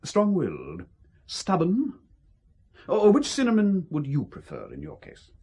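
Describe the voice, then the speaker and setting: A man's voice: on the high side, wildly swooping pitch, unhurried, darkish tone, slightly rough, exaggerated voice. A man with a British accent playing a suave, coercive intelligence officer, condescending and coolly menacing, in an audio drama. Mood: smoothly menacing. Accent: British English.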